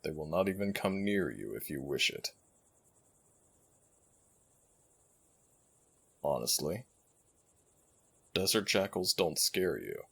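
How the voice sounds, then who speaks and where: Ancient jackal god, extremely deep, husky, stately wording, fondly teasing, audio roleplay. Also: male voice, very animated, normal speed, a bit bright, full character voice. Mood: calm, instructing. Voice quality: gravelly, husky. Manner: stately formal wording, affectionate, speaking to one listener. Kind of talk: ASMR roleplay audio drama.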